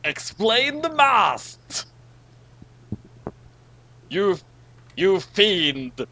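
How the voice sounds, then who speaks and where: A man's voice: high voice, big pitch swings, extremely slow, sharp tone, a little hiss or hum. A man doing a dramatic, comic reading of badly written fan fiction, often stumbling over the wording.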